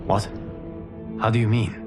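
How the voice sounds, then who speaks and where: An actor playing a noble, grave protector god in a video game, with formal, earnest, measured delivery. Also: male voice, music in the background, natural voice. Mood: concerned. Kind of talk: dramatic video game cutscene acting.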